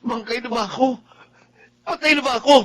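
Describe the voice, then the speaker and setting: A man's voice: very high voice, steady tone, fairly quick. An actor with a Filipino accent playing a male office worker in a dramatic audio story. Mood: panicked, dazed. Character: boastful male office worker, later shaken and remorseful. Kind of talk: Filipino radio drama acting and narration.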